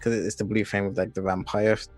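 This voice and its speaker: Low-voiced hesitant man, dry sound. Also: level tone, quick pace, dry recording, soft background music. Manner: hesitant, lots of fillers before acting in character. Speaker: player. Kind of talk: casual tabletop play.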